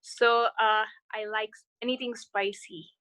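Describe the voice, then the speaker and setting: A young woman from Nepal speaks on a video call. Her voice is bright and fairly high, and she talks hesitantly, with plenty of fillers. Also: steady tone, a little slow. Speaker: student participant. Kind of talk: casual video call chat.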